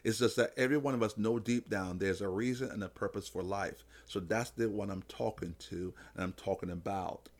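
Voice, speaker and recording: A man's voice: fairly low pitch, a little fast, few pauses. A man giving an emphatic, preacher-like motivational talk on faith as a podcast host. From Jamaica. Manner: emphatic, preacher-like, illustrates with simple analogies.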